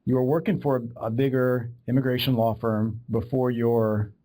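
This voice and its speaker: A man's voice: medium pitch, fairly even intonation, normal speed, mellow. A man hosting a podcast interview, chatting in an agreeable, conversational way and often repeating words. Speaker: podcast host. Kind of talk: casual interview talk.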